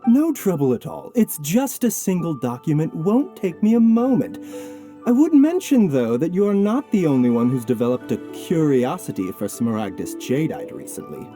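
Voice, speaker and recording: A man playing a sly, polite doctor in a fantasy game, silky and measured in his speech. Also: on the high side, big pitch swings, warm tone, soft background music. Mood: polite, probing. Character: soft-spoken, sly, polite male doctor. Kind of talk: anime fantasy game voice acting.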